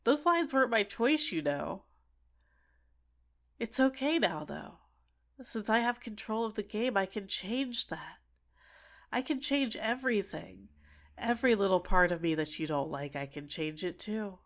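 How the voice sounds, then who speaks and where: A woman's voice: mid-range voice, animated, measured pace. A woman playing an obsessive, sentient dating-sim character in an ASMR roleplay, clingy and coaxing with menacing sweetness. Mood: teasing, coaxing. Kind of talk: scripted ASMR roleplay monologue.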